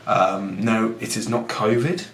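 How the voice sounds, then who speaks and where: Husky-voiced man in an echoey room. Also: fairly low pitch, measured pace, a bit bright. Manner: rambling, self-mocking, talks straight to the listener. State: husky, losing his voice. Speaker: fitness coach and podcast host. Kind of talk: casual podcast talk, teaching. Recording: echoey room with faint background noise.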